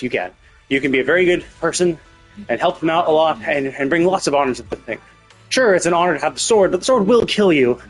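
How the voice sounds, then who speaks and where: A man livestreaming casual commentary on a game's story, thinking aloud in a rambling, opinionated way. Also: fairly high pitch. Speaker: live streamer.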